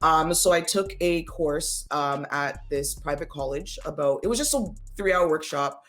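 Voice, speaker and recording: A woman's voice: deep voice, normal speed. A woman from the Caribbean, a guest in an interview, candidly recounting her path with easy laughs. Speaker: guest, front-end web developer. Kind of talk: casual interview chat.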